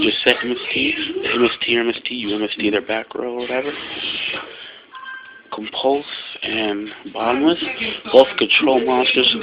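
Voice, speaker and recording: Muffled man explaining over loud music. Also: medium pitch. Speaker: card game video creator presenting his deck. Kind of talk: casual unscripted card-by-card explanation. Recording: muffled lo-fi home recording, loud music behind.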